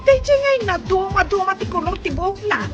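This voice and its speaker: Actress doing a gossipy envious neighbor woman, high voice, lively up-and-down pitch, complaining, radio drama. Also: lo-fi sound, over music. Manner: complaining, gossipy, quick retorts.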